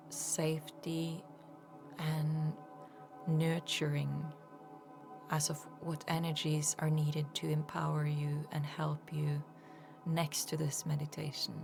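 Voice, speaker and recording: Woman doing a guided meditation, super slow n soothing, pretty even tone, music playing behind her. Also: deep voice, gravelly. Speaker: energy healer leading guided meditation.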